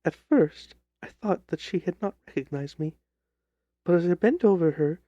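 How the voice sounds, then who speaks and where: A man voicing a distressed young gentlewoman who tells her grim story in a formal, earnest, theatrical way, as in an audiobook drama. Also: high voice, lively intonation, moderate pace, dry recording, muffled recording. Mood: fearful, grave. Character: distressed young gentlewoman recounting her sister's terrifying death.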